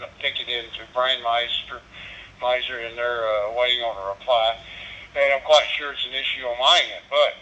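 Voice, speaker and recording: Bright-voiced man on dull radio link. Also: mid-range voice. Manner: halting, folksy phrasing. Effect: digital radio transmission. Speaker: amateur radio operator. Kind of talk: casual ham radio chat. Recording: dull, lo-fi digital radio audio.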